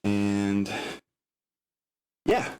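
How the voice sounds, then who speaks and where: A man talking casually and haltingly as game master, explaining the setup of a tabletop game session. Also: fairly low pitch, sing-song, darkish tone, smooth, faint music behind. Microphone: open microphone picking up room sounds.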